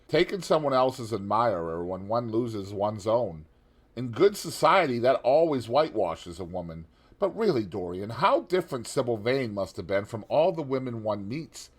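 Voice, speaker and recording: A man reading as a cynical witty aristocrat. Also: mid-range voice. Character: witty cynical aristocratic gentleman, languid and worldly. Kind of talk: audiobook character reading.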